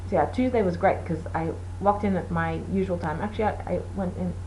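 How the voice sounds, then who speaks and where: Woman host, Portuguese native, low-ish voice, easygoing rambling delivery with faint background noise. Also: brisk, a little mellow, poor audio. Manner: rambling, chatty, many fillers. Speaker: knitting podcast host. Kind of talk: casual chat.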